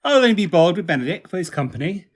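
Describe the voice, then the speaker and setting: A man's voice: high-pitched, sing-song, rapid-fire. A man playing a genial, teasing prince, speaking in a jovial, theatrical manner in a Shakespearean radio play.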